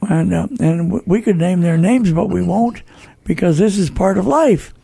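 A man's voice: sits high, normal speed, creaky, warm tone, breathy edge, no room sound. An older American man hosting a talk show, earnestly exhorting listeners in a conversational preaching style with repeated phrases.

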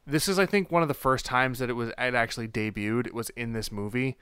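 A man with a bright, crisp voice chats casually as a podcast co-host. His retelling is animated and joking, with mild swearing. The sound is close and dry. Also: mid-range voice, fast, no echo. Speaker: podcast co-host recapping a film. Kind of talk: casual chat.

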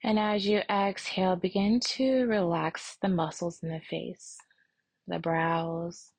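A woman meditation instructor speaks in a soft, breathy voice with a gravelly creak at the edges. She moves very slowly and soothingly through guidance and prayer, leaving long pauses, in a dry, close recording. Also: lowish voice, steady tone, dry recording.

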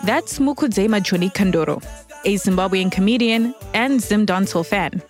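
Measured female documentary narrator, mid pitch. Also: darkish tone, faint music behind. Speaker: documentary host. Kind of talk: scripted narration.

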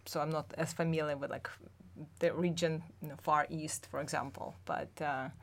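A woman from Russia, chatting casually in a measured, thoughtful way. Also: low voice, fairly even intonation. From central Russia. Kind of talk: casual conversational chat.

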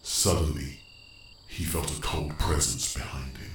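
A man's voice: rumbling low, steady tone, a little slow, slight background noise. A man performing grave, suspenseful scripted narration for a horror audio drama. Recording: heavily echoing, distant, faint night ambience.

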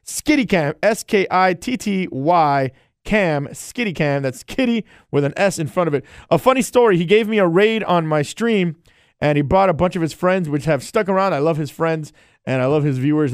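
A man's voice: a little high, fairly quick, clean tone, dry recording, studio quality. A man hosting a casual solo podcast and chatting in a loose, rambling, friendly way. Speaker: podcast host and streamer.

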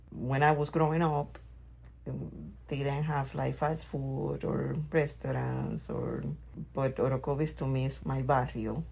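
Middle-aged woman from Puerto Rico, low husky voice, slow wistful storytelling. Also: darkish tone, low-quality recording. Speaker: interviewee, mother. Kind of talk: reflective personal storytelling.

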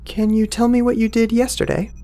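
A man's voice: very high voice, completely flat delivery, brisk, warm tone, soft background music. A man playing a nervous, apologetic young assistant in an audio drama, hesitant, polite and easily flustered. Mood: amazed, hesitant.

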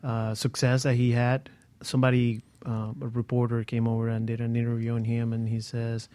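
A man's voice: medium pitch, completely flat delivery, moderate pace, warm tone. A man telling things casually as a panelist on a talk show. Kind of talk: casual conversational storytelling.

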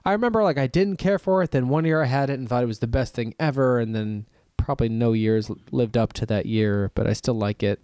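A man's voice: medium pitch, brisk, few pauses, mellow, clean tone, dry recording, studio quality. Laid-back rambling podcast man. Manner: rambling, laughs often, trails off. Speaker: podcast co-host. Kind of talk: casual chat.

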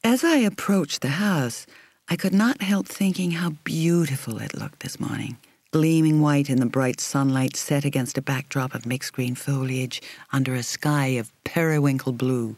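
Measured woman audiobook narrator, very dry sound. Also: rumbling low, darkish tone, no room sound. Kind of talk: scripted literary narration, first-person novel reading.

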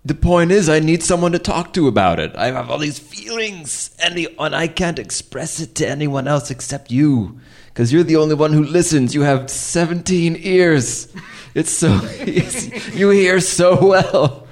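Man acting as needy male scientist, halting, pleading, lonely, improvised radio drama. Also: on the high side, lively intonation, voice pushed up. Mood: needy, exasperated. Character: needy, lonely male lab scientist clinging to his rat.

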